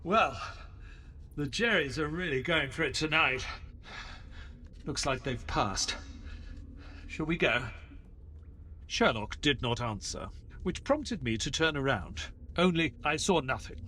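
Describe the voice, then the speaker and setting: An actor playing an earnest, uneasy gentleman companion who narrates an audio play dramatically, with a husky voice. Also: on the high side, expressive, slow pace, pauses often, a little hiss or hum, full character voice. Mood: foreboding.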